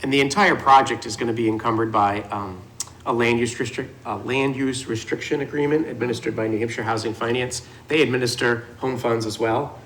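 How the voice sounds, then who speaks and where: A man's voice: mid-range voice, fairly even intonation, fairly quick, fairly bright tone, slight background noise, poor audio. A man testifying at a city committee meeting, explaining housing project details in a patient, restarting way. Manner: explanatory, with frequent restarts and fillers. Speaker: housing developer presenting to a committee.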